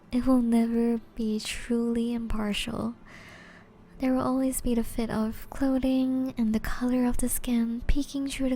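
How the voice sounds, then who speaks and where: Casting: actress as seductive aristocratic vampire woman for ASMR roleplay; mellow, unhurried, breathy and refined, music underneath. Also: on the high side, a little hiss or hum. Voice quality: soft, breathy, often whispery. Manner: refined, formal, teasing, slow and deliberate.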